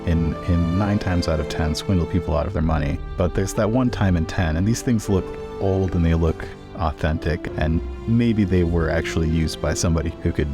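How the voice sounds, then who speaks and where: A man's voice: low voice, moderate pace, hardly any pauses, music in the background. A man playing a tabletop roleplaying game, switching between casual game chat and in-character talk.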